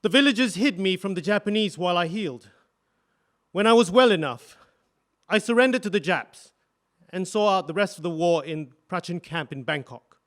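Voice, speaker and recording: An actor playing a grave, earnest widowed engineer, giving solemn, deliberate testimony in a courtroom drama. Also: male voice, high-pitched, expressive, fairly bright tone. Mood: grave, haunted. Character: grave, earnest male electrical engineer, widowed war witness.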